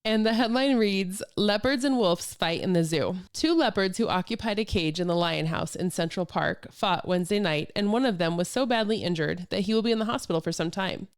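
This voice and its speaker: A woman's voice: mid-range voice, fairly quick, few pauses, smooth. A woman hosting a casual podcast, telling stories from old news items in a chatty way with amused asides. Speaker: podcast host.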